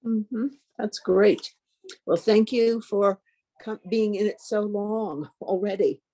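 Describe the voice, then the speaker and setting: A woman's voice: medium pitch, slow, dark tone. A woman hosting a panel conversation, introducing guests with brief questions and adding short affirmations while they speak. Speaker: host.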